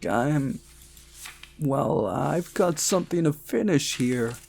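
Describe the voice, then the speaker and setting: Man putting on high-pitched earnest young fellow. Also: lively intonation, takes it slowly, gravelly, darkish tone, a little hiss or hum, full character voice. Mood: hesitant. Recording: music behind the voice.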